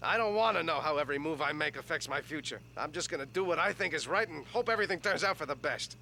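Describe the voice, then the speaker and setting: An actor playing a frantic, exasperated middle-aged male duck in an animated comedy, whiny and explosive. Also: very high voice, a little fast, creaky, slight background noise, full character voice. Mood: earnest, resolved.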